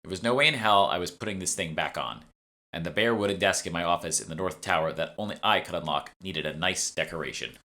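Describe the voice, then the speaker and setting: A man narrates a first-person novel as its author. His voice sits a little low and has a bright, forceful edge. The delivery is scripted storytelling for an audiobook. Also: fast. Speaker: author reading own audiobook.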